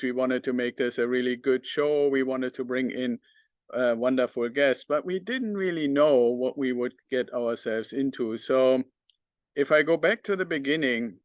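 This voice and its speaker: A middle-aged man with a German accent speaks as a podcast host, addressing his audience without a script. His voice sits at an average pitch for a man, and the sound is dry, with very little room on it. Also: no echo. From northern Germany. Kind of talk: unscripted talk to the audience.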